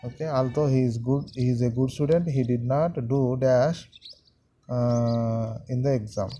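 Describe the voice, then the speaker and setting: A man teaching a grammar lesson to students, repeating sentences and pausing often with fillers. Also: mid-range voice, steady tone, mellow, soft background music. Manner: slow, repetitive, halting with many fillers.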